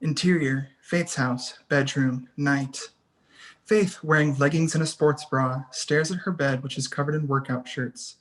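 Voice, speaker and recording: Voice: medium pitch, fairly even intonation, a little slow. A narrator reading scene headings and action lines in a scripted table read. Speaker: stage directions narrator.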